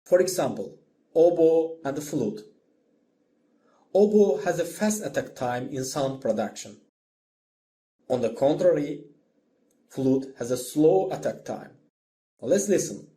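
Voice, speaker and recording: A man's voice: on the high side, lively intonation, slow, long pauses, mellow. A man narrating a scripted lesson as a music instructor, explaining step by step in simple phrases.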